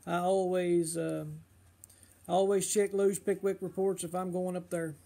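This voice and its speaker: A middle-aged man, slightly hoarse, chatting casually on a fishing livestream and giving advice with lots of uh and um. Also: high-pitched, flat delivery, measured pace, dark tone. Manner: rambling, full of uh and um fillers.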